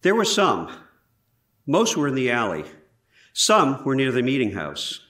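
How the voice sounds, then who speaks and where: A man playing an 18th-century surgeon who gives measured, precise testimony in a scripted trial re-enactment. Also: mid-range voice, wildly swooping pitch, a bit bright. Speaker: actor in a historical trial re-enactment. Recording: roomy echo, distant from mic.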